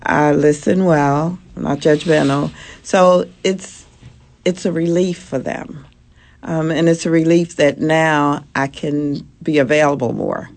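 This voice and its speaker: A woman with a very low voice speaks as a guest on a radio program. Her delivery is calm and soothing, with frequent small pauses and ums. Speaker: trauma counselor, guest. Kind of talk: radio interview answers.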